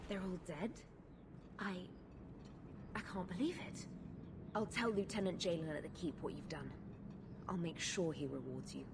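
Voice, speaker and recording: Woman as a low-voiced, earnest soldier. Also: fairly even intonation, normal speed, long pauses, noisy background, lightly acted. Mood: stunned gratitude. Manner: urgent, military. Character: earnest female soldier leading a patrol. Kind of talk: dramatic video game voice acting. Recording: game dialogue with music underneath.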